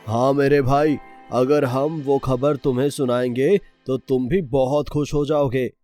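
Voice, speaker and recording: A man acts a kindly storybook king in a cartoon. He speaks with regal formality at a medium pitch, with music clearly behind him. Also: fairly even intonation, measured pace, warm tone, lightly acted. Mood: joyful. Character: kindly, dignified king of a fairy-tale kingdom.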